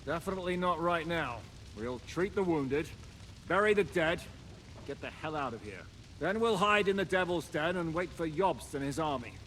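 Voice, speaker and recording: Slightly high male voice, commanding pragmatic medieval nobleman, measured, game drama, faint noise. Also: animated, fairly bright tone. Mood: grimly decisive. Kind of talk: video game drama acting.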